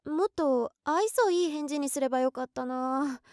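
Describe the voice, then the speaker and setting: A woman's voice: high voice, normal speed, darkish tone, no room sound, clean studio sound, close to an everyday voice. A woman playing a shy, anxious, devoted young office worker in a voice drama, girlish, flustered and earnest. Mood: flustered, nervous. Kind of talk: Japanese voice drama monologue.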